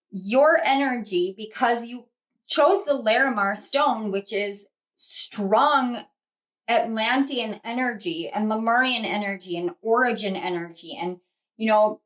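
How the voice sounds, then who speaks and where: A woman's voice: on the high side, unhurried, a bit bright, poor audio. A woman hosting a pick-a-card tarot reading, talking to her viewers in a rambling, conversational way with many fillers and restarts. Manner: earnest, speaks to viewers as a group. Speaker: tarot reader and channel host.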